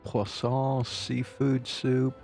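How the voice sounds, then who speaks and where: A man's voice: mid-range voice, monotone, extremely slow, creaky, darkish tone. A man doing casual, wry game commentary as a streamer, muttering asides and reading dialogue aloud over game music.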